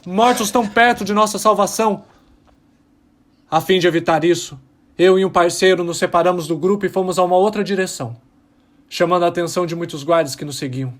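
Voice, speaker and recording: Guy acting a bitter alien rebel miner, high voice, impassioned, pausing for drama, audio drama monologue. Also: natural voice. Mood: grave, urgent. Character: embittered male alien rebel miner testifying about his oppressed people.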